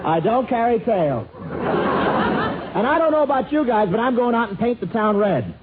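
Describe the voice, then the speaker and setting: A man's voice: extremely high pitch, sing-song, moderate pace, narrow, tinny audio, over a noisy crowd. A man playing a breezy, teasing, gossipy pal in a 1950s radio comedy sketch. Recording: noisy band-limited 1950 radio, loud audience.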